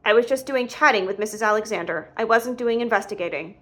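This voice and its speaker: A woman's voice: a little high, fast talker, a bit bright. A woman voicing a literal-minded, earnest boy who defends himself matter-of-factly in an audiobook. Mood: defensive. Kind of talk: audiobook character dialogue.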